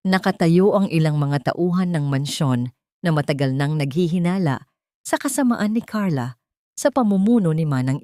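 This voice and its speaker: A woman's voice: low-pitched, warm tone, dry recording, clean studio sound. A woman narrating an audio drama as dramatic scripted storytelling.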